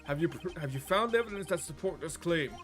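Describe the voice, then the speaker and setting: A man's voice: fairly high pitch, expressive, a little fast, faint music behind, close to an everyday voice. A man voicing a stern male judge in a courtroom video game, speaking formally and pronouncing to the court.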